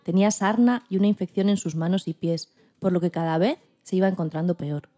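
A woman's voice: lowish voice, fairly quick, mellow. A woman with an accent from Spain, reading scripted narration for a podcast. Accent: Spanish (Spain).

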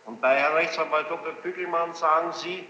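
A man questioning a witness as a presiding judge, formal and probing, choosing his words deliberately with pointed challenges. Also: on the high side, steady tone, bright, forceful tone. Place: large reverberant courtroom. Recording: muffled, noisy archival courtroom tape, echoing hall.

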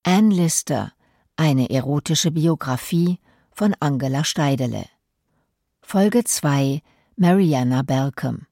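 Deep-voiced, unhurried woman announcer. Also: expressive, mellow, dry recording, studio quality. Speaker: announcer reading credits. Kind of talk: scripted radio credits announcement. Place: studio.

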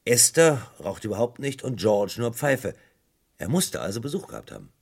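An actor playing a persistent, wry police detective in a German radio crime drama, questioning and recounting in a dry, conversational way. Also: male voice, mid-range voice, huge pitch swings, moderate pace, creaky, husky, heavily acted. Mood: reflective.

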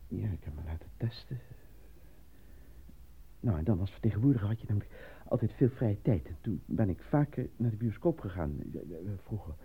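A stammering, excitable actor, husky. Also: male voice, on the low side, fairly quick, exaggerated voice. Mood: hesitant, earnest. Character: eager, naive, easily flustered male actor cast as minister. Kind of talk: radio play acting. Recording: muffled lo-fi old radio recording.

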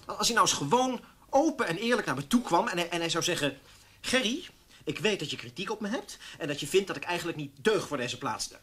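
A man's voice: high-pitched, lively intonation, fairly quick, sharp tone, a little hiss or hum. An actor in a radio play playing an indignant, self-justifying male newspaper columnist who talks hesitantly and stammers. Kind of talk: naturalistic radio play dialogue.

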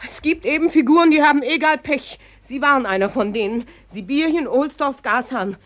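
An actress playing a gossipy, callous older working-class housewife in a mid-century radio drama, colloquial and falsely sympathetic. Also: female voice, high voice, fairly quick, lightly acted. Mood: callous, shrugging.

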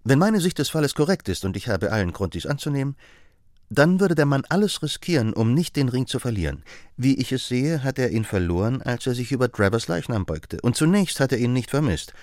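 A man voicing a sharp-witted detective who lays out his reasoning in a brisk, assured way. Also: mid-range voice, big pitch swings, no echo, clean studio sound, pitched above the performer's own voice, close to an everyday voice. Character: sharp-witted male detective, confident and explaining.

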